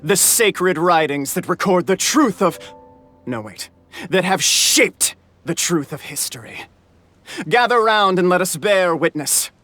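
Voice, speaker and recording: A man's voice: high voice, animated, measured pace, bright, forceful tone, soft background music, full character voice. A man voicing a smug, theatrical, sarcastic clansman in a video game, with a grandiose, mocking delivery. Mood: grandiose, triumphant. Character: smug, theatrical, sarcastic male clan member. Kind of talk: video game character acting.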